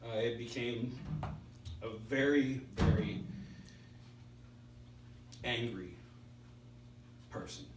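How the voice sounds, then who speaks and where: A middle-aged man with a stutter gives a personal testimony at a recovery meeting, speaking in halting, spaced-out phrases with pauses. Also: mid-range voice. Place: indoor meeting room. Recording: roomy, distant mic with background hiss.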